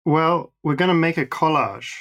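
A man's voice: on the high side, normal speed, a bit bright. A young man in casual conversation, chatty and self-deprecating, with hesitant restarts as he recalls growing up abroad. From born in Singapore, raised in the Netherlands and abroad. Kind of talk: casual conversation between friends.

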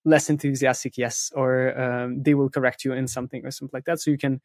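Male interview guest, mid-pitched, closely recorded with no room sound, explains step by step with frequent check-ins. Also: level tone, fast, darkish tone, no room sound, studio quality. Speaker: interview guest, content writer who interviews experts.

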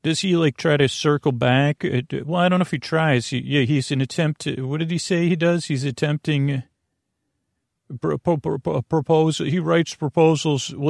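Creaky-voiced man, rambling and soothing. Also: fairly high pitch, darkish tone, dry recording, clean studio sound. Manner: meandering, stammering, tangent-prone, self-questioning; soothing, lulling, drowsy delivery. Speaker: podcast host. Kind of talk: rambling bedtime podcast monologue.

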